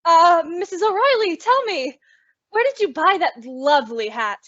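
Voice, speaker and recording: A woman's voice: very high-pitched, lively intonation, moderate pace, a bit bright. A woman playing a sensible, often exasperated young roommate in an old-time radio comedy, with emphatic theatrical delivery. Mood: frantic. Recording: dull lo-fi audio.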